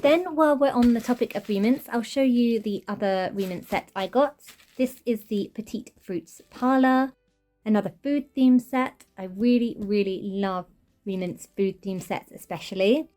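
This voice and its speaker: A woman's mellow, soft-edged voice gushes warmly over the tiny details of a show-and-tell toy collection update. Her voice is still a little off from a recent cold, and faint music plays softly behind her. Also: a little high, normal speed. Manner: chatty, enthusiastic, gushing over tiny details. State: slightly off voice while recovering from a cold. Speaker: toy collector channel host.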